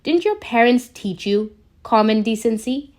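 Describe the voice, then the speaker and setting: A woman's voice: a little high, animated, a little slow. A woman voicing a cocky, sarcastic male genius engineer in a fan fiction reading. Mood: snarky challenge. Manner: sarcastic, quippy.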